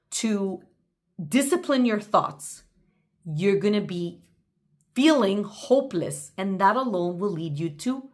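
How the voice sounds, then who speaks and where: A woman gives a faith-based motivational talk in a mid-pitched voice. She speaks deliberately and with emphasis, addressing the listener directly, as if coaching them through notes from her own journal. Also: a little mellow.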